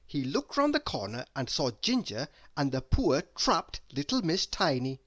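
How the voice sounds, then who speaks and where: Bright-voiced, expressive children's storybook reader. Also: medium pitch, normal speed. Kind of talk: children's read-aloud narration.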